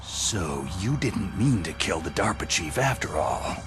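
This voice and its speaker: A hardened soldier from an action game, with a low, gravel-edged growl. His terse, defiant lines swing widely in pitch, intense throughout. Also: sing-song, a little slow, music in the background, exaggerated voice. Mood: angry. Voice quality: gravelly, gruff. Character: gruff, hardened male soldier hero, defiant. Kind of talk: dramatic video game cutscene acting.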